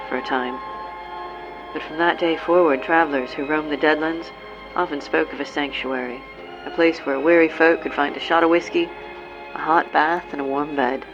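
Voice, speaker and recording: A woman narrating a western tale in a measured, dramatic storytelling manner. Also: low-pitched. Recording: dull lo-fi with music.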